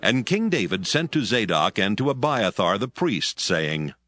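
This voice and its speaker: A man's voice: on the low side, big pitch swings, measured pace, fairly bright tone. A man giving scripted dramatic narration of scripture, telling the story solemnly in archaic English.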